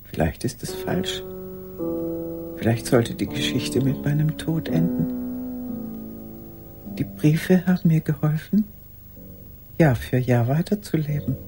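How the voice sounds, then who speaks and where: An older actress playing a lonely, pleading old woman in a radio play monologue, halting and intense, with a husky voice. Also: deep voice, animated, over loud music. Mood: wistful.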